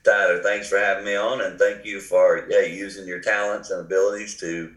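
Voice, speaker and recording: Older Carolina-accented pastor with a cold. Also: male voice, low-pitched, little pitch movement, a little fast, fairly bright tone, roomy sound, poor audio. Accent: Southern US, Carolinas. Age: 60s. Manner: earnest, reflective storytelling. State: has a cold. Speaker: pastor, guest. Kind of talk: casual interview talk.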